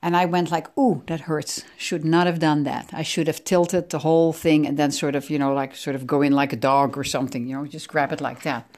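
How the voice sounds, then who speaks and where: A woman's voice: low voice, steady tone, fairly quick, few pauses, darkish tone. A middle-aged woman from the Netherlands with a Dutch accent, hosting her own podcast in a chatty, rambling way, sore from aching ribs. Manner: chatty, rambling, self-correcting. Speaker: podcast host. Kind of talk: casual solo podcast monologue.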